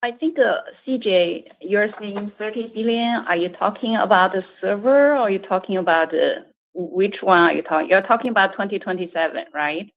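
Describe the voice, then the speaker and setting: A woman finance executive answering analysts on an earnings call, with halting, repetitive phrasing. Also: medium pitch. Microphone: conference call. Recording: tinny phone-like conference line.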